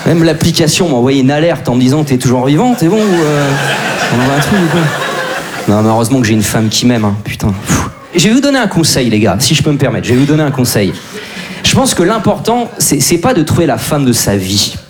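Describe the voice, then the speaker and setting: A man's voice: mid-range voice, sing-song, fairly quick, noisy background. A man in his forties doing a crude, brash, self-deprecating stand-up set. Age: 40s. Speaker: stand-up comedian. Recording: live show with audience.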